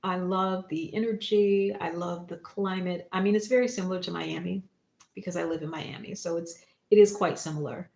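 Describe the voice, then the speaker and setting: A woman hosting a spiritual livestream, talking casually and reflectively in short phrases and gentle prompts with pauses. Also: fairly low pitch, fairly even intonation, creaky. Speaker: psychic medium and spiritual teacher hosting a livestream. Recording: roomy, echoing room sound.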